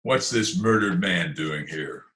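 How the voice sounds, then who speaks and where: A man playing a gruff, impatient, sardonic detective in an old-time radio comedy-mystery, brusque and deadpan. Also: low voice, slow pace, full character voice. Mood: suspicious. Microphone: video call. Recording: roomy video call recording.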